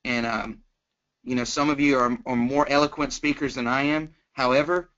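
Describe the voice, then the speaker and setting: A plain-spoken, folksy man coaching casually on sales as a guest trainer, acting out sample pitches as he goes. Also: steady tone, moderate pace, fairly bright tone. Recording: dull, lo-fi conference call line.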